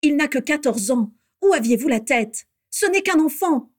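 A woman's voice: mid-range voice, brisk, a little mellow, no echo, studio quality, natural voice. A woman voicing a sensible, protective young woman in a storybook, reproving and practical. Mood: reproachful.

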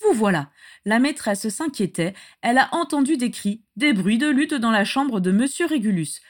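Woman doing a creaky grovelling little house elf guy, super servile, talks kinda high, fantasy story. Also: expressive, normal speed. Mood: anxious, urgent. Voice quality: grating, creaky. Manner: obsequious, speaks of himself in third person. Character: servile, fawning male house-elf servant, fanatically devoted.

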